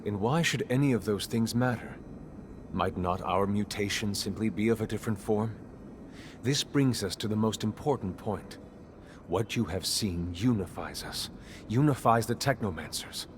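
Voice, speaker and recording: An actor playing a grave, world-weary, middle-aged master of a secret order in a video game, speaking in a measured, instructive way. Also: male voice, lowish voice, background noise, lightly acted. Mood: grave, instructing.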